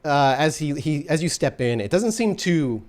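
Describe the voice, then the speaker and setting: Guy running a tabletop game, pitch all over the place, laughs a lot. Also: very animated, moderate pace. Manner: laughs often, loose and chatty. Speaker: storyteller. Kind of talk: narrating a tabletop game and voicing characters.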